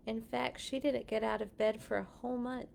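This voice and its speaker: A woman's voice: a little high, level tone, fairly quick, gravelly, a little mellow, slight background noise. A woman reading picture books aloud to children, telling the stories expressively and talking to the young listeners between passages. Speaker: children's story reader. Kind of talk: children's read-along storytelling.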